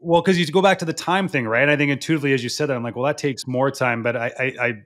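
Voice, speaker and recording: A man's voice: mid-range voice, very fast, a bit bright. A man interviewing a coach, asking long, hesitant questions full of restarts and you-knows. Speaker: interviewer, podcast host.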